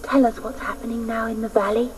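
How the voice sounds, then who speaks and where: A woman playing a gentle, curious young girl from a legendary land in a radio drama, speaking earnestly and pleadingly. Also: on the high side, level tone, quick pace, natural voice. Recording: faintly noisy old radio broadcast.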